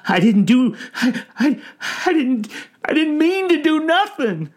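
A man's voice: very high voice, very animated, brisk, darkish tone, pitched above the performer's own voice. A man voicing a frantic, sobbing old derelict in an audiobook story, stammering and blubbering in rustic, folksy speech. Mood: fearful.

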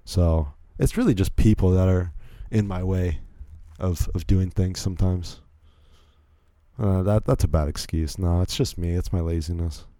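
A man's voice: low voice, a little slow, dark tone, no echo, studio quality. A young man from Virginia hosting a solo podcast, rambling casually with frequent restarts and self-deprecating asides. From Virginia, USA. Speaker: podcast host.

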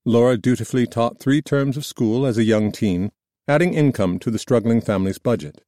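A man reading scripted nonfiction narration in a measured, formal storytelling manner. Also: medium pitch, dark tone, no echo, studio quality. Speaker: audiobook narrator.

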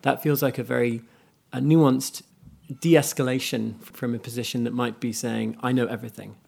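Creaky-voiced male interviewer. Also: medium pitch, steady tone, moderate pace, darkish tone. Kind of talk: live literary interview.

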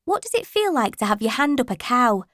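A woman's voice: fairly high pitch, sing-song, brisk, a bit bright, dry recording, clean studio sound. A woman voicing cheeky, insolent teenage schoolchildren in an audiobook. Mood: curious, pert. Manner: insolent, pert.

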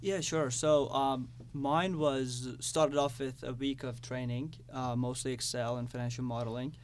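Even-toned young man from Iran. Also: mid-range voice, unhurried. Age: young adult. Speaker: student guest. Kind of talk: casual interview answers. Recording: clearly audible background noise.

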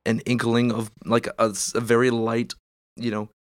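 A man's voice: mid-range voice, completely flat delivery, normal speed, no echo, clean studio sound, faint music behind. A man hosting a casual chat, congested and losing his voice, talking in loose rambling bursts. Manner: rambling, filler-heavy. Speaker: podcast host.

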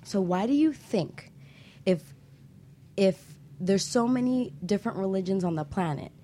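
A woman hosting a casual panel, putting questions in a thoughtful, slightly halting way. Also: medium pitch, expressive, mellow, a little hiss or hum. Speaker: talk show host.

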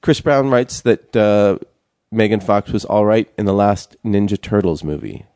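A young man co-hosting a casual chat show, talking haltingly with many fillers and restarts. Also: lowish voice, darkish tone, no room sound, clean studio sound. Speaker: podcast co-host.

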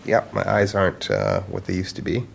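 An actor playing a guarded, gently testing blind retired painter in an audio drama, speaking in a halting, understated way. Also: male voice, sits low, very animated, vocal fry, a little hiss or hum, exaggerated voice. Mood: polite, evasive. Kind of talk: naturalistic audio drama acting.

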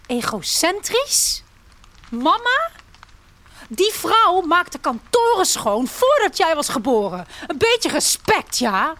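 A woman's voice: very high-pitched, big pitch swings, slow, a bit bright, pitched above the performer's own voice, exaggerated voice. A woman voicing an indignant, protective sister who snaps back sharply in a radio story. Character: indignant sister, fiercely protective of her dying mother.

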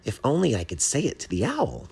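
A man's voice: medium pitch, wildly swooping pitch, fairly quick, creaky, a little mellow, breathy edge, pitched above the performer's own voice, heavily acted. A man voicing a shy, earnest little bat who writes poems, tentative and naive, in a storybook reading. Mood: eager. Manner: tentative, naive, easily bewildered.

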